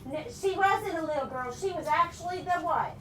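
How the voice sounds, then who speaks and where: A woman's voice: very high-pitched, moderate pace. A woman reading a picture book aloud to children and asking them questions. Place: echoing room with children present. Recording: roomy, distant mic with background noise.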